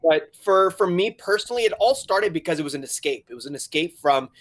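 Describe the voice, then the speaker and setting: A man in his forties from Hong Kong, a website founder telling his story in a casual interview, animated and laughing often as he acts out his own past thoughts. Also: fairly high pitch, fairly quick. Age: 40s.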